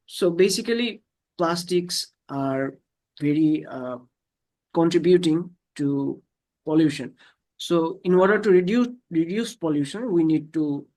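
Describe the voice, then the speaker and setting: A man from Bangladesh walks through slides on a video call. His voice sits a little high, and he speaks haltingly, filling pauses with small fillers. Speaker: presenter. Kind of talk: slide presentation.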